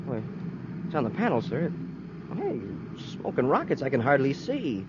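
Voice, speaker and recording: An actor playing an earnest, deferential young space cadet sidekick in a 1950s radio adventure. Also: male voice, mid-range voice, wildly swooping pitch, normal speed. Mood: uneasy. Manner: boyish, earnest exclamations. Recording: muffled, very noisy 1950s radio recording.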